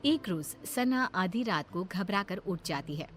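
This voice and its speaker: A woman's voice: mid-range voice, expressive, unhurried. A woman giving scripted narration for a cartoon horror story.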